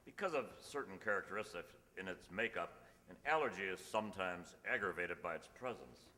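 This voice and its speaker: A man acting in a radio sitcom as a shy, oblivious biology teacher, earnest, halting and pedantic. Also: low-pitched, expressive, bright, forceful tone. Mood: flustered, pedantic. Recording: echoey hall, noisy background.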